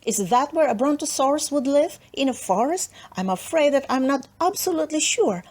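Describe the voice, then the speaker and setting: Animated woman reading a children's story. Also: high-pitched. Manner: dramatic, deliberate emphasis with playful asides. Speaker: storybook reader. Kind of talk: expressive children's read-aloud narration.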